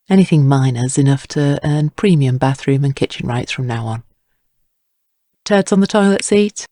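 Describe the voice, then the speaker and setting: A man's voice: on the high side, dark tone, no echo, studio quality. A man reading scripted narration for a crime audio drama.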